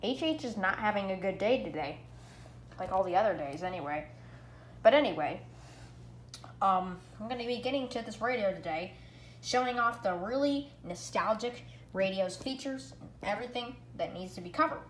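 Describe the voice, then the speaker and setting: A female-sounding hobby vlogger talks casually through a product review. The delivery is excitable and leans on repeated phrases for emphasis. The room is echoey, with faint noise behind the voice. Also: mid-range voice, normal speed. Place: indoor room at home. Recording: echoey room, background hiss.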